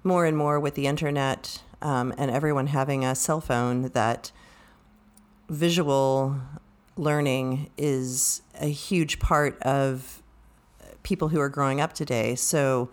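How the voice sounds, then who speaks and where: A woman co-hosting a conversational book discussion, speaking in halting phrases. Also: a bass voice, fairly even intonation. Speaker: book discussion podcast co-host.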